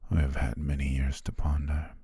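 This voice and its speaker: A man playing a possessive, lovestruck butler in an ASMR romance roleplay, speaking formally in a hushed, breathy voice. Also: very deep voice, monotone, normal speed, dry recording, clean studio sound, heavily acted. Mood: tender, possessive. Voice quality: husky, breathy, near-whisper. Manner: formal, ornate, hushed diction.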